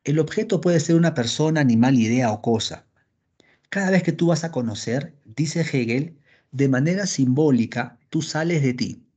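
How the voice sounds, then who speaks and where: A man teaching a philosophy class over a video call, lively and acting out his students' questions. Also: on the high side, moderate pace, breathy edge. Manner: animated, acts out students' questions. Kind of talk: online class lecture. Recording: dull lo-fi call audio.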